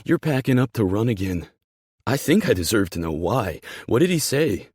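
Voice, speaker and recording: Man acting devoted young boyfriend, low husky voice, intense, emphatic, stubborn, audiobook dialogue. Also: measured pace, a little mellow, dry recording, clean studio sound, full character voice. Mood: insistent. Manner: earnest, emphatic, swearing.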